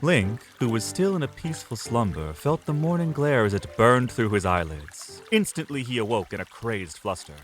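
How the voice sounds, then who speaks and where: A man's voice: medium pitch, big pitch swings, moderate pace, hardly any pauses, soft background music. A man narrating an audio drama in a dramatic, scripted storytelling style.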